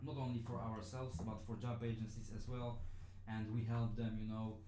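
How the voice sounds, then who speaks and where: A man's voice: medium pitch, little pitch movement, normal speed, warm tone, echoey room, a little hiss or hum, poor audio. Man with a Polish native tongue teaching casually. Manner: hesitant, many fillers and restarts. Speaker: online business trainer. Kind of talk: live-streamed casual lesson.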